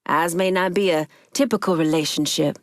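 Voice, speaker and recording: An actress voicing a proud, commanding woman crime boss in a video game, with a firm, deliberate and authoritative delivery. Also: on the low side, no room sound, studio quality, natural voice. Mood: composed, assured.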